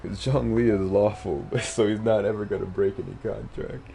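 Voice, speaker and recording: Low, gravelly man musing over a game. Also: steady tone, normal speed, warm tone, a little hiss or hum. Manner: slangy, musing aloud. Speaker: gaming live streamer. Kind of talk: casual live game commentary.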